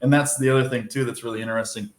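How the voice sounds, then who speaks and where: A young man from Minnesota talks casually as a podcast guest, rambling and restarting his sentences in an easygoing way. His voice is middle-pitched and carries the echo of a roomy space. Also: fairly even intonation, very fast.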